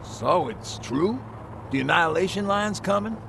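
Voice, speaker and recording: An actor playing an anxious, earnest leader of a survivor group in a video game, chatty and urgent. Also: male voice, high voice, expressive, moderate pace, gravelly, background noise. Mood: curious, concerned. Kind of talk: cinematic video game acting.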